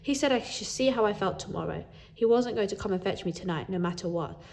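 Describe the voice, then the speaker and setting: Female children's story reader, medium-pitched, animated read-aloud delivery. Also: fast talker, creaky, slight background noise. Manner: animated, acts out dialogue.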